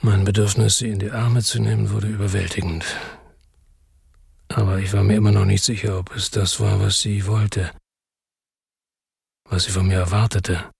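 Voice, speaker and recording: World-weary crime narrator, low pitch, very raspy, introspective, grave, radio drama. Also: male voice, little pitch movement, moderate pace, long pauses, a little mellow, no room sound, clean studio sound, exaggerated voice. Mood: brooding. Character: brooding, world-weary male narrator-hero of a crime story.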